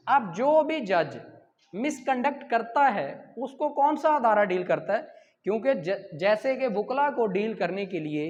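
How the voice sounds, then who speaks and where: A woman's voice: fairly high pitch, darkish tone. A woman with a Pakistani accent teaching like an exam tutor, reading out questions and explaining the answers in a didactic way. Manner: didactic, poses questions then answers them, repeats key points. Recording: muffled lo-fi sound, long hall-like reverb.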